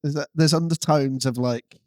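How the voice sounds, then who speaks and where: Man, podcast co-host, wry quips, slightly high voice, dry sound. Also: fairly even intonation, normal speed, a little mellow, dry recording. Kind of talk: casual comedic banter.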